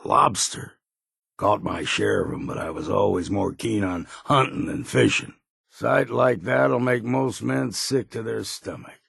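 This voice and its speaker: An actor playing a gruff old hard-drinking hunter and guide in a video game, with a raspy voice and folksy, laconic talk. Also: male voice, on the low side, a little slow, fairly bright tone, dry recording, studio quality, full character voice. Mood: folksy, plainspoken. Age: older. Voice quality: gravelly, raspy. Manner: folksy, dropped endings, laconic. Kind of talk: video game character acting.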